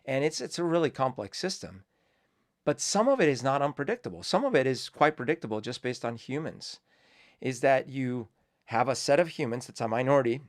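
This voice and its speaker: A man who grew up in Colombia hosts his own show, giving reflective, rambling commentary full of fillers and restarts. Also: mid-range voice. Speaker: host. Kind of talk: casual commentary.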